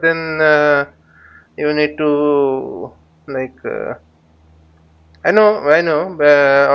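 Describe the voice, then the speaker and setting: A man with a fairly high, very clear voice talks through a flight simulator tutorial as he goes. His delivery is halting, with phrases repeated and reworded. The audio is slightly dull and lo-fi. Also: fairly bright tone. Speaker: flight simulator instructor and channel host. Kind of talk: live tutorial commentary while flying a simulator.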